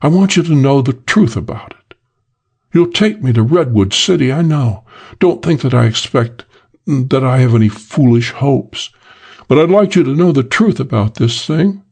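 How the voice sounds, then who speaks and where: A man plays a beautiful woman crook in a crime audiobook, the pitch lifted well up. She speaks in an earnest, pleading way, as if confessing. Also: lively intonation, moderate pace, a little mellow, husky.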